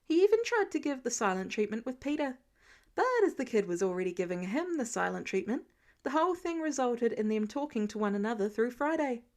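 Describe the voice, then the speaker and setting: A woman with a high voice reads a story aloud. Her delivery is scripted and expressive, in the manner of an amateur audiobook narrator. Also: a little fast. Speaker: podfic reader.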